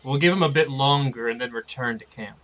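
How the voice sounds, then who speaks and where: A man plays a stern warrior tomcat who speaks slowly, with cold menace. The voice is bright and forceful, sitting at a middling pitch for a man. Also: natural voice. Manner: cold, slow, threatening. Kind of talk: fan audiobook voice acting.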